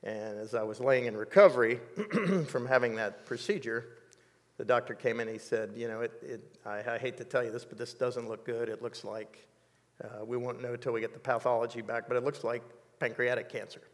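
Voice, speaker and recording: A man in his sixties telling a personal story in an interview, measured and reflective, with many fillers, on a dark studio set. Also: mid-range voice, fairly even intonation, big-room sound. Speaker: interview guest.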